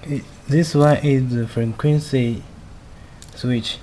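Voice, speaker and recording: Male product demonstrator, non-native English, mid-pitched, clipped halting instructions, noisy amateur recording. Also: fairly even intonation, mellow. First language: not a native English speaker. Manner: halting, simple clipped phrasing. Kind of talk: step-by-step spoken product demonstration.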